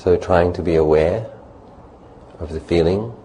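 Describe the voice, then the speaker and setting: A man leading a guided meditation as a teacher, speaking calmly and unhurriedly in short phrases with long pauses. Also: sits low, poor audio. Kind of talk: guided meditation, spoken instruction. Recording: faint background noise and ambience.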